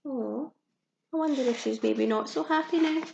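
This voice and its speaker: High-voiced woman reading to young children, echoey. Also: normal speed, vocal fry, lo-fi sound, slight background noise. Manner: expressive, simple child-directed speech with audible gasps and questions. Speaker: teacher reading a picture book to young children. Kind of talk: children's storybook read-aloud with asides to listeners. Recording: echoey room sound.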